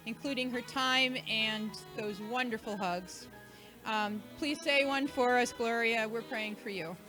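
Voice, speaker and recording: A woman's voice: sits high, sharp tone, poor audio. A woman hosting an event, giving an informal, chatty, joking thank-you speech to a live audience. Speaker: event host and organiser.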